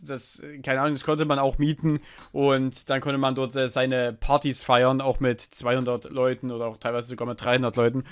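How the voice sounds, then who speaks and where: A man's voice: mid-range voice, a bit bright. Man, streamer, headset mic, casual and chatty, greets viewers. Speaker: streamer reacting to a video. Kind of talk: casual live-stream commentary.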